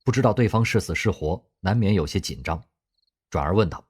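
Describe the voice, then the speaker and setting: A man narrating an audio drama in an expressive storytelling manner. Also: deep voice, brisk, breathy edge.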